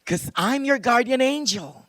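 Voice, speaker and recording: Guardian angel, male actor: old, innocent, cheery, high and very animated, radio-play delivery. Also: normal speed, no room sound. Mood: bright, eager. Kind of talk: live radio play acting.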